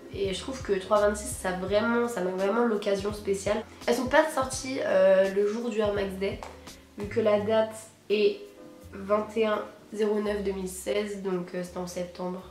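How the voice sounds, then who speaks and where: A young woman, hoarse from a cold, chatting casually and slangily to camera as an enthusiastic vlogger. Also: medium pitch, steady tone, moderate pace, a little mellow. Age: teens to young adult, high school student. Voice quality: hoarse, husky. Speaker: sneaker vlogger presenting purchases. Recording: roomy echo, music behind.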